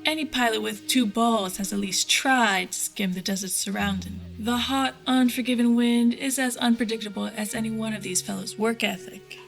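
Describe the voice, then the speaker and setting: A woman's voice: on the high side, a bit bright. Woman playing a tough, blunt bounty-hunting pilot. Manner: blunt, slangy, world-weary. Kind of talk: audio drama dialogue.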